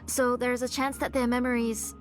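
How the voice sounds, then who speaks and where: A fairly high, composed voice of a middle-aged mother, acted by a woman for a video game. She is polite yet guarded and controlling, with a firm delivery. Music sits faintly in the background. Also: little pitch movement, normal speed, close to an everyday voice. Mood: defensive.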